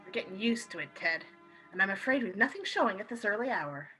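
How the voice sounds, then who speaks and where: An actress in a radio play playing a loyal, spirited and protective London wife, in a theatrical style. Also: female voice, mid-range voice, expressive, fast, sharp tone, faint music behind, low-quality recording. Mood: indignant, defensive.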